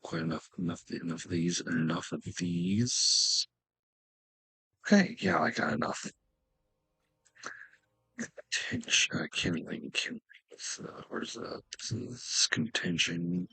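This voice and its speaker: Male gaming host, heavily husky with lots of fry, casual and halting as he thinks aloud. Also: low-pitched, very animated, sharp tone, no echo, low-quality recording. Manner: halting, muttering to himself.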